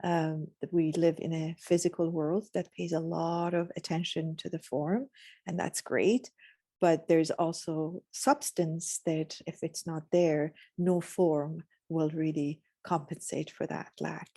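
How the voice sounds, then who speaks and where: A woman from Iran, telling her story reflectively in a measured, philosophical way as a guest. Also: sits low, fairly even intonation, warm tone, no echo, studio quality. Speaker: podcast guest.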